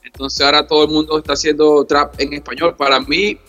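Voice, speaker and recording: A man's voice: fairly high pitch, level tone, a little fast, pushed and bright, dull audio, soft background music. A man from Venezuela, a reggae artist, answering interview questions in an earnest way, often repeating words and checking that he is understood.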